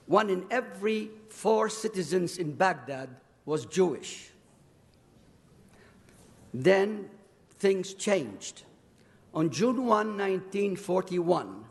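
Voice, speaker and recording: An elderly man in his eighties, a native Arabic speaker from Iraq, gives testimony in a slow, measured voice that sits a little high. His words carry a long reverb from a large council chamber. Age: 80s. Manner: slow, deliberate reading of a prepared speech. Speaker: invited speaker. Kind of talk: prepared public testimony.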